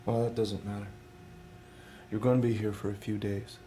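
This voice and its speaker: A man's voice: fairly low pitch, flat delivery, normal speed, dark tone, a little hiss or hum, close to an everyday voice. An actor playing a reluctant but kindly man who shelters fugitives, plain-spoken and earnest, in an audio drama. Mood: guarded.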